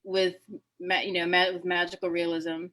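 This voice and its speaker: A woman hosting a relaxed online author chat. She asks friendly questions and keeps the talk moving. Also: fairly low pitch, normal speed, rough recording. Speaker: host. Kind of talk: casual video chat.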